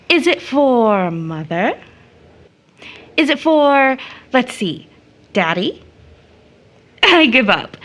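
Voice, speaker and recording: An affectionate young woman in an audio drama. Her voice sits a little high and moves freely up and down, with a tender, expressive delivery. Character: Rachel, affectionate young woman doting on small children. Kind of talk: stage play audio drama acting.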